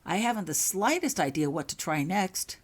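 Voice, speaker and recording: A woman's voice: on the low side, animated, moderate pace, breathy edge. A woman voicing a stern, worried older headmistress in a storybook reading, formal and questioning.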